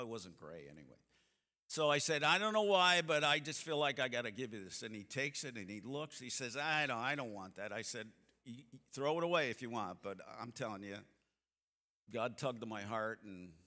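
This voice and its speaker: Bright-voiced older man in a reverberant church. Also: mid-range voice, normal speed. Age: 70s. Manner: folksy, animated storyteller acting out quotes. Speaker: seminar speaker. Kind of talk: church seminar talk with storytelling. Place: church sanctuary stage, seated. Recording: long hall reverb from the sanctuary.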